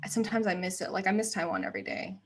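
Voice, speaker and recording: A woman in her thirties from the United States talks as a guest on an interview show. Her voice sits at a middle pitch. She is chatty and laughs easily, filling her thoughts with "um" and "like". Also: sing-song, rapid-fire, slightly rough. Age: 30s. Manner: chatty, laughs often, many fillers. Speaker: guest, actor and writer. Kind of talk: casual interview chat.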